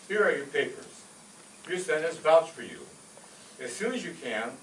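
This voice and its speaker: A man playing a brisk, patient helper to a new immigrant in a comic radio skit. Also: on the high side, bright, forceful tone. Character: devoted immigrant son steering his mother, and brisk immigration officer. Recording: echoey, distant stage recording, faint noise.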